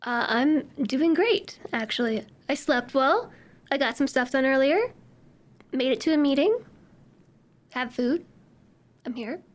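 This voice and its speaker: A woman's voice: high voice, animated, unhurried, long pauses. Upbeat giggly woman on a podcast, voice bounces around a lot. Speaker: podcast guest, streamer. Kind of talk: casual chat.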